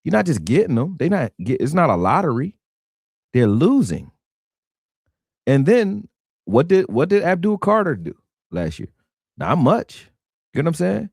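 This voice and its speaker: A man rants through a live sports talk stream. His pitch swings widely as he talks, and he keeps leaning on "you know what I'm saying." The sound is close and dry. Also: wildly swooping pitch, normal speed, vocal fry, pauses often, a little mellow, husky, no room sound. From Philadelphia. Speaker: sports show host.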